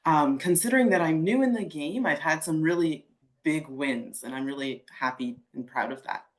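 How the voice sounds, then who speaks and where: A woman who is a voice actor, with a very deep voice, earnestly answering a coach's questions on a video call. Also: moderate pace. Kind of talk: answering questions in a role-play.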